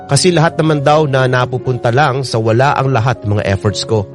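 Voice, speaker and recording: A man's voice: mid-range voice, fairly quick, lightly acted. An actor playing a lovesick young man narrating his own story for radio drama, earnest and confiding, with a Filipino accent. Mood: earnest, wistful. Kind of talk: scripted first-person radio drama narration. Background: prominent music.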